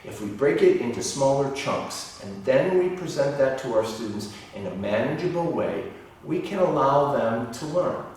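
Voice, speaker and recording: A middle-aged man from Ohio with a Midwestern American accent, a university lecturer explaining concepts in an animated teaching video. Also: mid-range voice, moderate pace. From Ohio, United States. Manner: animated, gesturing, explaining in plain terms. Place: indoor office room. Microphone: far camera. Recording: echoey room, distant mic.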